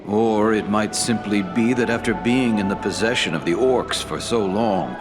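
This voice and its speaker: An actor playing a wise, ancient elf lord in a fantasy game, speaking in a measured, formal way. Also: male voice, fairly low pitch, over music, natural voice. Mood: grave counsel. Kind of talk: scripted fantasy game dialogue.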